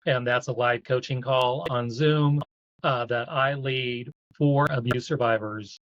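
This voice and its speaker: A man's voice: mid-range voice, fairly even intonation, unhurried, a bit bright, lo-fi sound, a little hiss or hum. A man hosting a live online event and introducing guests as a support group coach.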